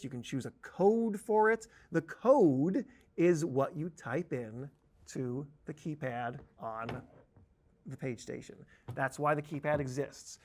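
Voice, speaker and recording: A man with a slightly high voice leads a software tutorial. He explains things informally, as a trainer walking learners through a screen, and drops in playful asides. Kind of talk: conversational instructional screen-share tutorial.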